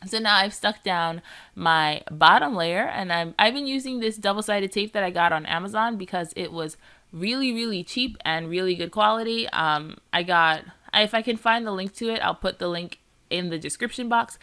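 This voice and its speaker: A woman's voice: lowish voice, pushed and bright. A woman with a nasal, congested voice from a cold, chatting casually as she narrates her scrapbooking process, rambling with lots of "like" and "um". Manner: chatty and rambling, often saying "like" and "um". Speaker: scrapbooking vlogger.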